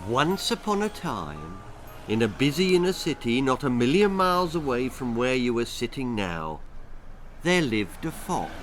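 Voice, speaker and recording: A British man reads a children's tale as its author. His delivery is dramatic and takes its time, with pitch that rises and falls widely. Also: big pitch swings, a little slow, a bit bright, faint music behind. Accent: British English. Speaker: author reading own stories.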